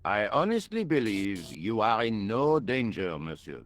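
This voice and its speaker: A man playing a pompous, self-important French police detective, speaking deliberately with a French accent in a video game. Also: on the low side, very animated. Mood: grave, self-assured. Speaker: police inspector.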